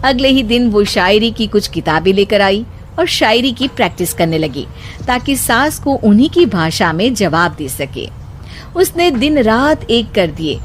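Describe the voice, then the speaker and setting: A woman's voice: medium pitch, big pitch swings, unhurried, a little hiss or hum. A woman narrating a scripted moral story as a storyteller. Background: background music.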